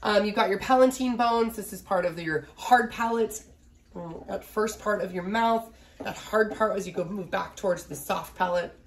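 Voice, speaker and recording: A woman's voice: medium pitch, moderate pace. Thirtysomething woman teaching anatomy from her home office, kinda nasal from a cold, lots of "right?". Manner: chatty, asks "right?" often, fillers. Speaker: biology instructor. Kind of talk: casual recorded lecture. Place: small home office, indoors.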